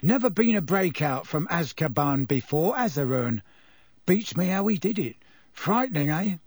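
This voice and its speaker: A man voicing an excitable, gossipy young working-class bus conductor in an audiobook, chatty and colloquial, full of tag questions. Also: on the high side, normal speed, husky, no echo, studio quality. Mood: gossipy, awed. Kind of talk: audiobook character voice.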